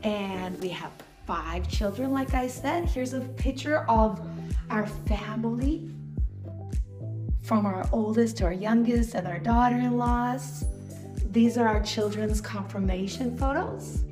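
A woman's voice: fairly high pitch, slow, roomy sound, music in the background. A Canadian woman in her forties, presenting her family and her vision earnestly as a guest. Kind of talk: personal presentation over a home video.

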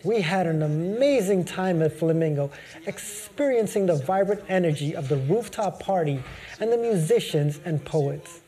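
Guy host reading a scripted outro, high pitched but really mellow voice. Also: animated, normal speed. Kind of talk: scripted show sign-off narration.

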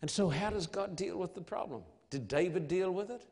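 A man preaching an evangelistic sermon in a solemn, emphatic manner, with dramatic pauses and rhetorical questions. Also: high-pitched, a little mellow. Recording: long hall reverb.

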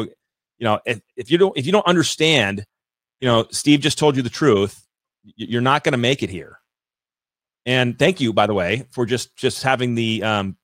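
A man's voice: mid-range voice, fairly bright tone, no room sound. A man hosting a podcast interview, talking energetically and effusively with many restarts. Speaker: podcast host. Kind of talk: interview chat.

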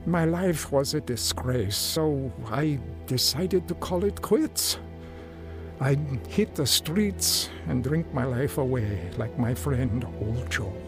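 A despairing down-and-out drifter in a radio drama. His voice is gravelly and middling in pitch, downcast and hesitant, with music playing prominently behind it. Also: lively intonation, takes it slowly. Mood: bleak, regretful. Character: despairing down-and-out man telling his life story. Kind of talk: first-person radio drama narration and acting.

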